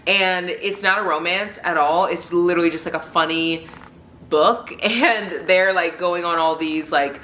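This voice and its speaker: A woman's voice: fairly low pitch, a little slow, bright, forceful tone, slight background noise. A woman with a stuffy, congested nose, chatting casually and enthusiastically as she reviews books she has read. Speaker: book vlogger. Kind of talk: casual book review chat. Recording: roomy echo.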